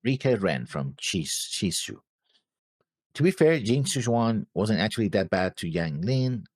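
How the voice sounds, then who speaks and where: A man co-hosting a reaction show, chatting and reading comments casually, hesitant and halting, often repeating his words. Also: on the low side, very animated, darkish tone, dry recording, studio quality. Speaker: co-host of anime reaction show.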